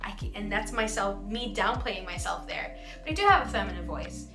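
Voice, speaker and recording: A woman's voice: medium pitch, lively intonation, a little fast. A young adult woman, a voice actor, chatting casually and answering fan questions in a bubbly, giggly, self-deprecating way. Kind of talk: casual vlog Q&A. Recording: roomy echo with music behind.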